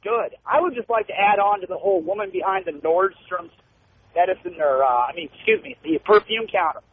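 A man's voice: high-pitched, brisk. A man calling in to a radio show, joking crudely and eagerly agreeing with the host. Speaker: caller. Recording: tinny phone line with faint noise.

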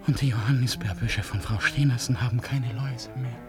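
A man's voice: mid-range voice, steady tone, fairly quick, music in the background, natural voice. An actor in a German radio play playing a feverish, raving, self-tormenting man who lurches between outbursts and broken muttering. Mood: delirious.

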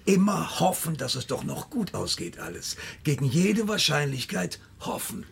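An actor playing a menacing, pedantic and self-pitying debt collector in a radio crime drama, lecturing in a deliberate, pompous way. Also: male voice, on the high side, lively intonation, gravelly, breathy edge, full character voice. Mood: coldly menacing. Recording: music behind the voice.

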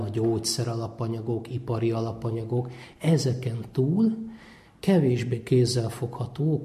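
A man talks as an academic expert being interviewed. His voice is medium-pitched with a dark, relaxed tone, and he lays out points in a measured, explanatory way.

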